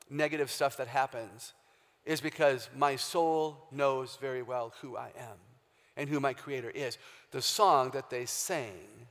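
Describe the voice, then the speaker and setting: A woman with a very low, deep voice giving an emphatic, humorous faith talk as a guest speaker, quizzing a live audience in a large hall. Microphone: handheld or stage microphone. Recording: long hall reverb, live stage talk.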